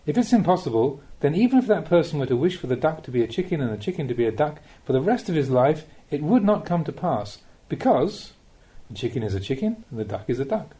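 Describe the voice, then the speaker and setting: Mellow man reading teachings, lively intonation. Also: fairly high pitch. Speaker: narrator reading Buddhist teachings. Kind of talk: audiobook reading of a spiritual talk.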